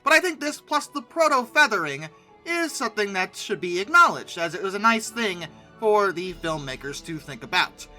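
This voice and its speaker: A woman's voice: mid-range voice, very animated, normal speed, bright, forceful tone, faint music behind. A woman host giving casual, opinionated list commentary in an emphatic way, with theatrically exasperated asides. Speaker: host of a paleontology video channel.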